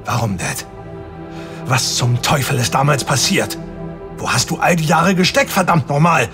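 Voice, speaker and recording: A man's voice: a little high, expressive, moderate pace, over music, close to an everyday voice. A man playing an upset young hero. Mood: agitated. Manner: agitated and demanding. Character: angry young hero confronting his father. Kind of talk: audio drama acting.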